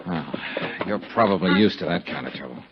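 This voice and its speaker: An old, muffled, hissy radio recording carries a hard-boiled investigator in a 1950s detective serial. His voice sits on the low side and moves with lively inflection. He is wry, clipped and cynical. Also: a little fast. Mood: cynical, probing. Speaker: lead actor and narrator.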